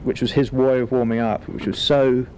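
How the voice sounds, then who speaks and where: A man talking as a film director in a relaxed, wordy, articulate interview. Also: mid-range voice, normal speed, slight background noise, rough recording.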